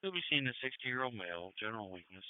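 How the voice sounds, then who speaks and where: A man's voice: fairly low pitch, sing-song, quick pace, clear, resonant tone, no room sound. A man announcing fire and EMS dispatches in clipped phrases over a two-way radio. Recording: tinny narrowband fire radio feed.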